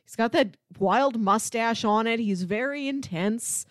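A woman's voice: on the high side, a little slow, dry recording, clean studio sound. A woman co-hosting a casual film discussion in loose, conversational talk. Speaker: podcast co-host.

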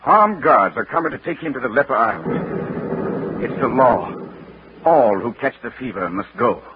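Actor as solemn official, firm, cautioning, vintage broadcast, dull sound, clear noise. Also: male voice, medium pitch, lively intonation, measured pace, natural voice. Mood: grave, warning. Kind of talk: 1940s radio drama acting. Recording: noisy, muffled vintage radio broadcast.

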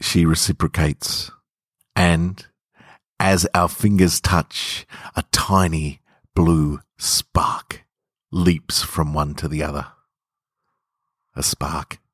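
A man's voice: rumbling low, level tone, slow pace, long pauses, fairly bright tone, breathy edge, dry recording, studio quality. A man hosting a podcast and reading their own short fiction. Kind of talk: casual podcast introduction, then slow narrated fiction reading.

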